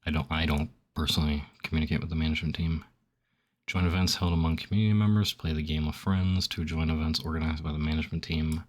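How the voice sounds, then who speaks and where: Young male streamer, very deep, slightly phlegmy, loose filler-heavy chatter. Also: fairly even intonation, quick pace. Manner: rambling, heavy on "like", reads options aloud then reacts. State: phlegmy throat. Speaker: gaming streamer. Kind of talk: casual commentary while filling out a survey.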